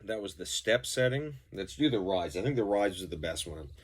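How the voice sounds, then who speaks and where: Low-voiced man, casual gear demo. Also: animated, brisk, very noisy. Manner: informal, rambling, mildly profane. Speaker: musician demonstrating effects pedals. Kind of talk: casual gear review, off-the-cuff demonstration.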